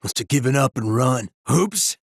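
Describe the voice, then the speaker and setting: An actor playing a gruff, skittish bandit lookout in a video game. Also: male voice, medium pitch, expressive, moderate pace, no room sound, studio quality. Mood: dismissive, weary. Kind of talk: video game character barks.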